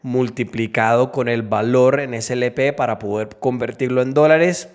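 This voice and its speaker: Male video host, thirties, mid-pitched, conversational explainer on a close mic at home. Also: fairly even intonation, moderate pace. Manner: conversational, checks in with tag questions. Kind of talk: casual explainer to camera. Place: small home room, indoors. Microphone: close desk microphone on an arm.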